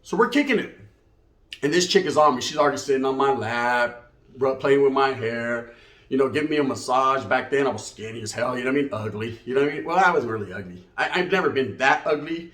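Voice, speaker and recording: A man's voice: mid-range voice, fast talker, fairly bright tone. A man with a Chicano Californian American accent telling a comedic story casually, in a streetwise, slangy way peppered with Spanish words. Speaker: comic storyteller. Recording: roomy, echoey sound.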